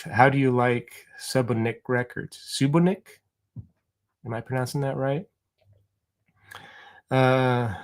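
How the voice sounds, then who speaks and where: A middle-aged man from Oregon hosting a casual livestream, reading out viewer comments and rambling with lots of ums and restarts. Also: medium pitch. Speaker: livestream host.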